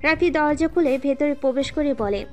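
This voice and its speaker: High-pitched woman narrating a story. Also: moderate pace, faint music behind.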